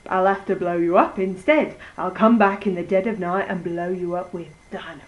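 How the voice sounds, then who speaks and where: A woman doing a loud gloating wolf. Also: lowish voice, brisk, highs cut off, natural voice. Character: greedy boastful male wolf.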